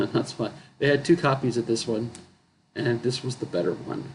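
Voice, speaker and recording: A man chatting casually about his comic book haul, his voice going hoarse and rough, clearing his throat often and correcting himself as he rambles. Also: mid-range voice, lively intonation, normal speed, darkish tone, a little hiss or hum. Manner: rambling, self-correcting, chuckling. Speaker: comic book collector vlogger.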